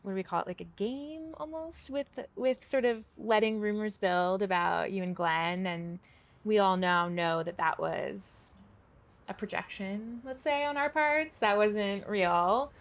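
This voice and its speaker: A woman's voice: medium pitch, measured pace. A woman interviewer in a played clip, asking probing, leading questions. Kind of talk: magazine interview.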